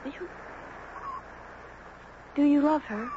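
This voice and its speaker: A woman's voice: sits high, very slow. A woman playing an earnest, insistent young girl with a blunt, childlike manner in a 1970s radio drama. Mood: thoughtful. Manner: blunt, thoughtful, childlike directness. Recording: dull, noisy old radio broadcast.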